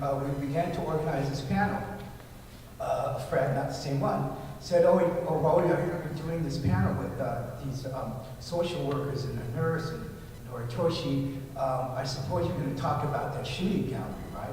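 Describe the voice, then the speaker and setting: A man moderates a public panel, feeling his way through each sentence with frequent fillers. He sits well back from the mic in a large, echoing hall. Steady noise hangs under his voice. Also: mid-range voice. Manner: hesitant, many ums and restarts. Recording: echoey hall, mic held too far.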